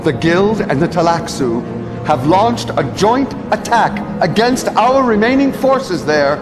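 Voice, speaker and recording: An actor playing a grave, servile advisor to a cruel lord in a game cutscene, formal and measured. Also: male voice, high voice, big pitch swings. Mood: grave, dutiful. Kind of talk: video game cutscene acting. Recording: long reverb, music behind the voice.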